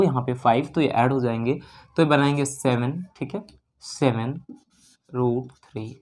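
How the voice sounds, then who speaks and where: Man teaching maths. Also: mid-range voice. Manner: instructional, asks questions and answers them himself, repeats key steps; mixes English terms into speech. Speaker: maths teacher for exam preparation. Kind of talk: spoken maths lesson, working through questions.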